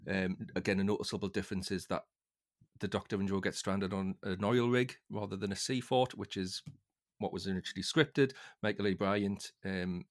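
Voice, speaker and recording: A man hosting a casual podcast discussion in a halting way, often repeating words as he goes. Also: deep voice, steady tone. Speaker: podcast host.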